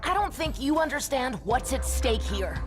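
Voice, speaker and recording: An imperious major, highly animated. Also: female voice, normal speed, over music. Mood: stern, demanding. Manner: stern, haughty. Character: imperious, angry female military major. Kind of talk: video game cutscene acting.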